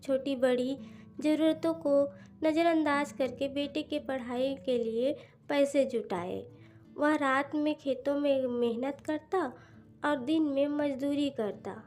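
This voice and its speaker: A woman narrates a cartoon story in a high voice. She takes her time over each phrase, with faint instrumental music behind her. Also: mellow.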